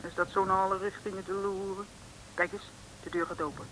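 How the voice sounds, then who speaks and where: A woman's voice: medium pitch, steady tone, fairly quick, fairly bright tone, close to an everyday voice. An actress playing a detective's perky, polite young female sidekick in an old Dutch comic radio drama. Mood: watchful. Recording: noisy old radio recording.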